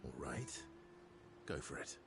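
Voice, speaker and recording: An actor playing a guarded, wry, mysterious man in a video game cutscene, measured and dryly teasing. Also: natural voice. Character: guarded, wry, mysterious male stranger, calm ally. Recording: music behind the voice.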